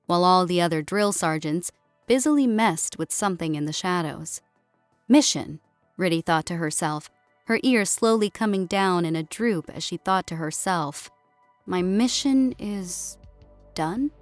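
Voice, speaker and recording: A woman's voice: on the low side, expressive, normal speed, clean tone, no room sound, faint music behind. A woman narrating a scripted science fiction story as an audiobook.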